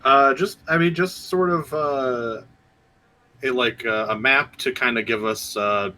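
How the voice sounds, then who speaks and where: A man's voice: medium pitch, measured pace, sharp tone. A man playing a tabletop game, speaking politely and hesitantly with frequent stammers. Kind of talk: casual in-character play.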